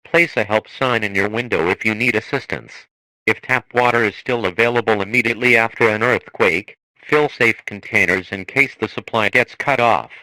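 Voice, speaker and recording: A man reading a scripted emergency broadcast in a formal, measured manner. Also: fairly low pitch, sharp tone, no echo, rough recording. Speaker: emergency alert announcer.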